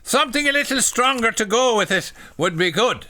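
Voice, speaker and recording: Man putting on a high, nervous, genteel Irishwoman. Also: lively intonation, a little fast, pushed and bright, a little hiss or hum, exaggerated voice. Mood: nervous, polite. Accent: attempted Irish. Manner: polite, hesitant. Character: nervous, genteel married Irishwoman in a spoof storybook.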